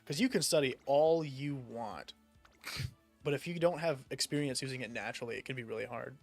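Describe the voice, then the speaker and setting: A man's voice: mid-range voice, fairly quick, faint music behind. A young man from the United States chatting casually while gaming, rambling with lots of fillers and casual swearing. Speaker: streamer.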